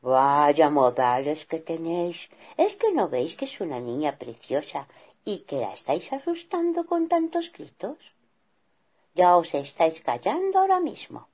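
A woman's voice: mid-range voice, huge pitch swings, takes it slowly, few pauses, husky, pitched above the performer's own voice, full character voice. A woman voicing a kind mama bear who coaxes and soothes. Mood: gently firm. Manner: coaxing, gently firm.

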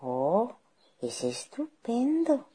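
A woman voicing a sly, wicked male storybook wolf who talks in a falsely sweet, wheedling, drawn-out way. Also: a little high, huge pitch swings, mellow, slightly rough, pitched above the performer's own voice, full character voice.

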